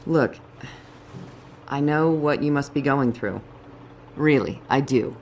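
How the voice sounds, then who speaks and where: A woman voicing a stern, composed police detective in a video game, with a firm, measured manner. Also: extremely low pitch, a little mellow, a little hiss or hum, heavily acted. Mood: controlled, stern.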